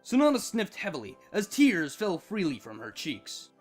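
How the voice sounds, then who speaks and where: A narrator reading fan fiction aloud in an expressive scripted storytelling style. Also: medium pitch, normal speed, breathy edge, faint music behind.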